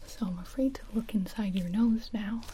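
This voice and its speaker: Woman whispering as a rude ent doctor, deadpan roasting, soft mellow whisper right on the mic. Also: medium pitch, moderate pace, slight background noise, lightly acted. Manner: deadpan, insulting bedside manner. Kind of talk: comedic ASMR roleplay. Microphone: close mic.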